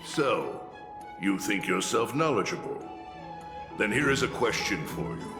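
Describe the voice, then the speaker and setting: Sly old warrior mentor, lowish voice, sweeping intonation, sardonic, commanding, video game, echoey hall with music. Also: male voice, sing-song. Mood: mocking, theatrical. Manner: theatrical, unhurried storyteller.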